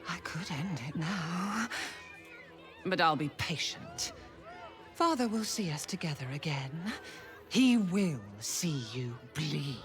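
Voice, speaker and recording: A woman playing a sadistic cult murderess in a fantasy video game, taunting with drawn-out menace. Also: low voice, lively intonation, music in the background, exaggerated voice. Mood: menacing, gloating. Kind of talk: video game villain acting.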